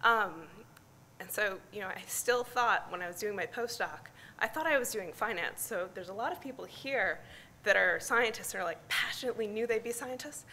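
Midwestern woman speaker, middle-aged, bright voice, candid and self-deprecating. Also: medium pitch, normal speed, slight background noise. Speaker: conference speaker. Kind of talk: personal talk at a science meeting. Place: large conference hall.

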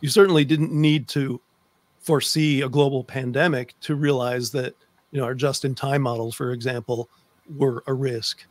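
A middle-aged American man with a medium-pitched voice, hosting an interview in a conversational way. He is close on a desk microphone, and the sound is very dry. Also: steady tone, normal speed, no echo. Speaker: host. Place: book-lined room indoors, on a video call.

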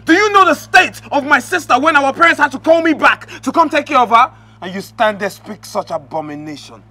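Brief: actor plays protective brother, scornful, sharp high voice. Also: male voice, lively intonation, fast, full character voice. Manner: scornful and shouting. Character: protective angry brother, adult man.

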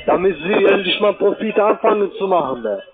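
A man with a high voice, not a native speaker, pushes a sale in a casual way as a call-center agent. The phone line is thin, with faint music behind it. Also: animated, a little fast. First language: not German. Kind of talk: phone sales conversation.

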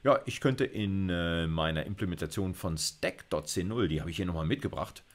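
Middle-aged guy with a really low voice, teaching coding, informal, thinking out loud as he goes. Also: moderate pace. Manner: informal, thinking aloud while working. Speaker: university lecturer. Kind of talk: computer science lecture with live coding. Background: computer keyboard typing.

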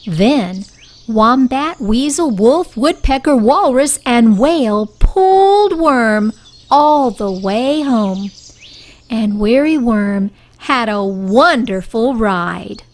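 A woman reading a picture book aloud for young children in a deliberate, expressive storytelling style. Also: on the high side.

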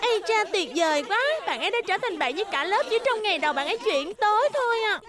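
A woman voicing a gentle, admiring little schoolgirl in a children's cartoon dub. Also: extremely high pitch, expressive, fast talker, crowd noise. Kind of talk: animated children's cartoon dubbing.

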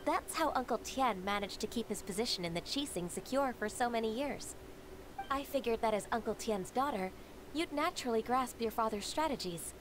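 A woman voicing a gentle, reliable half-human secretary in a video game, speaking calmly and politely. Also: fairly high pitch, background noise, natural voice. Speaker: game character. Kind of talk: game voice acting.

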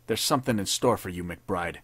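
A man playing a tough, vengeful army captain who speaks in gruff, threatening tones. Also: sits low, brisk, a bit bright, dry recording, heavily acted. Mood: menacing.

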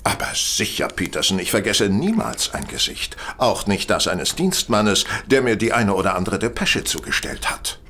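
An actor playing a shrewd, self-assured gentleman detective, speaking eloquently and courteously in an audio drama. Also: lowish voice, lively intonation, moderate pace, hardly any pauses, a little hiss or hum. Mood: genial, amused. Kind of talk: German audio drama acting.

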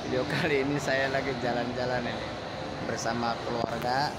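A man's voice: fairly even intonation, moderate pace. A middle-aged man chatting casually to the camera as he walks through a busy indoor mall, recorded on a handheld phone. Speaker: family vlogger. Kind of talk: casual chat to camera while walking. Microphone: handheld phone at arm's length. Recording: loud mall ambience and rumble.

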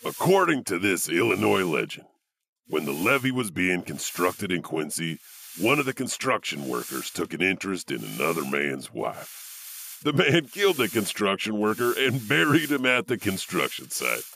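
An actor playing a ghoulish, wry old storyteller, hosting horror tales in a theatrical, punning way. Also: male voice, low-pitched, big pitch swings, normal speed, creaky, pushed and bright, a little hiss or hum, exaggerated voice. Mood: ominous. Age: older. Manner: sly, theatrical, punning, chuckling. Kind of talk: horror anthology host narration.

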